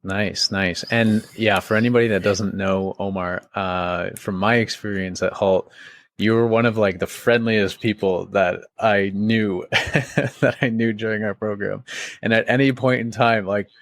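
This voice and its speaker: Low-voiced young man hosting a podcast. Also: fairly even intonation, measured pace, fairly bright tone, no room sound. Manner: chatty, informal, peppers talk with "like". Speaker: podcast host. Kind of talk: casual conversational interview.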